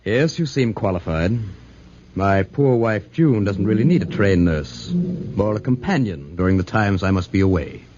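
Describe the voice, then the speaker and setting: An actor playing a wealthy, menacing big-game hunter in a formal, deliberate 1940s radio drama style. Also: male voice, lowish voice, expressive, lightly acted. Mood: cool, formal. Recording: muffled, noisy 1940s radio recording.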